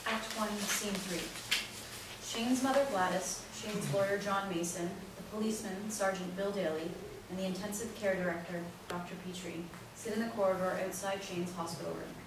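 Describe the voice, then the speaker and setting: A woman's voice: medium pitch, fairly even intonation, moderate pace. Woman narrator in a very echoey hall. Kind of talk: scripted reading of stage directions. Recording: very echoey hall, distant, noisy.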